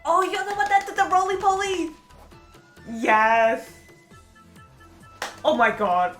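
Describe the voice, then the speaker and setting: Gushing woman, lively high voice, roomy sound. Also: slow. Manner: gushing, playful, admiring exclamations. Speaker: gaming video reactor. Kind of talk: casual reaction commentary. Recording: roomy echo, game music behind. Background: game trailer music.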